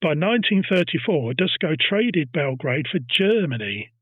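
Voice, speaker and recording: A man's voice: on the high side, big pitch swings, normal speed, husky, no room sound, narrow, tinny audio. A man hosting a casual history podcast, telling a story and teasing his co-host.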